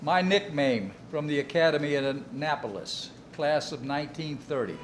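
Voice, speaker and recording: Guy playing an eager navy officer in a war drama, voice a bit high, respectful, little background noise. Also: expressive, moderate pace, close to an everyday voice. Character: eager, confident young naval officer, male. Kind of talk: scripted wartime naval drama.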